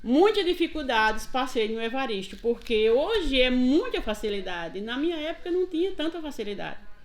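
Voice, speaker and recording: An older retired schoolteacher with a Northeast Brazilian accent speaks in a somewhat high voice. She recounts her past reflectively, slowing down to stress what matters. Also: big pitch swings. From Paraíba, Brazil. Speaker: retired teacher, interviewee. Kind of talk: casual interview, recounting memories.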